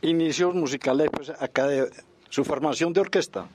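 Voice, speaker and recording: A man hosting a radio interview with formal, deliberate phrasing. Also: fairly high pitch, husky.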